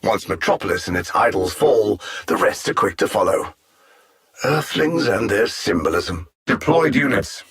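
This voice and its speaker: A man's voice: fairly low pitch, expressive, normal speed, fairly bright tone, slightly rough, exaggerated voice. A man playing a pompous alien machine-intellect conqueror in a video game, coldly sardonic and formal, with theatrical menace. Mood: haughty, threatening. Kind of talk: scripted video game villain dialogue.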